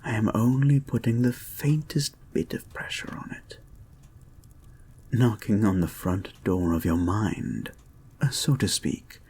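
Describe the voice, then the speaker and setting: A man playing a creepy, soothing male therapist in an intimate audio roleplay, breathy and soft-spoken, with formal, deliberate phrasing that often drops toward a whisper. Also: lowish voice, slight background noise. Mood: soothing, intent. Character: creepy, soothing male experimental therapist, calmly controlling and intent. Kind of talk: slow intimate ASMR-like audio roleplay, guided hypnosis.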